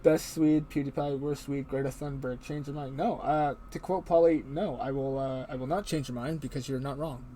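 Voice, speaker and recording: A man's voice: medium pitch, steady tone, moderate pace, few pauses, dark tone, slight background noise. A man hosting a casual livestream, reading the chat aloud in a joking way and laughing often. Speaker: stream host.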